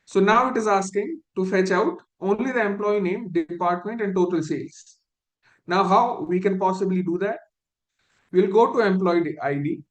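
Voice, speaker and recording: A man with a fairly high voice walks learners through a spreadsheet task in a live online class. He is patient and methodical, often correcting himself mid-sentence. His computer mic picks up a noticeably echoey room. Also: normal speed. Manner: step-by-step, patient, restarts phrases. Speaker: instructor.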